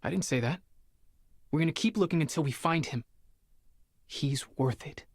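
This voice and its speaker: Young Spartan soldier: husky, earnest, driven, weighed down by guilt. Dry, close game dialogue. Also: male voice, on the high side, normal speed, no echo. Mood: resolute. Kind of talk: dramatic video game acting.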